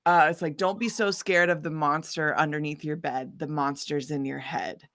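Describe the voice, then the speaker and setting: A woman with a slightly low voice tells stories casually as an interview guest. She is animated and informal, often slipping into quoted dialogue as she goes. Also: moderate pace. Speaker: singer-songwriter interview guest. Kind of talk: casual chatty interview storytelling.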